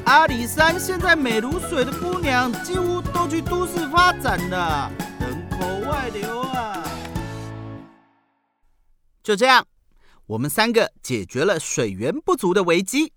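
Casting: excitable school kid female actor, slightly slow, very expressive delivery, Taiwanese Mandarin, children's audio drama with music behind. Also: a little high. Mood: mock earnest. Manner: exclamatory, theatrical. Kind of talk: children's radio drama acting.

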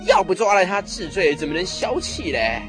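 A man's voice: high-pitched, wildly swooping pitch, moderate pace, music in the background. An actor playing a petulant, vengeful king in a radio drama, blustering and whining. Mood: grumbling. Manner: whiny, blustering. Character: King Ahab, petulant, grumbling, vengeful male Israelite king. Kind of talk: Mandarin radio drama acting.

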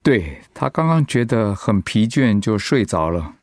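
An actor playing a caring pastor and husband in a radio drama, speaking earnestly. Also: male voice, lowish voice, very animated, unhurried, darkish tone, no room sound. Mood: concerned.

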